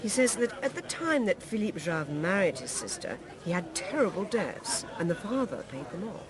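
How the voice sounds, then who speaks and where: A companionable, sympathetic wife in a radio drama. Her gentle talk is lively in pitch, set against a loud city crowd. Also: moderate pace.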